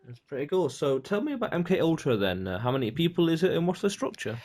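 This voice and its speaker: A man's voice: on the high side, very animated, fast talker, darkish tone. A man hosting a game developer podcast, reading his show intro and asking short questions with upbeat reactions. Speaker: podcast host. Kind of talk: scripted intro and casual interviewing.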